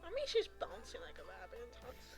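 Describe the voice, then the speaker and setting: A woman's voice: a little high, wildly swooping pitch, a little fast. A woman chatting casually as she streams a video game. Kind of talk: casual chat while playing a video game. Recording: game music in the background.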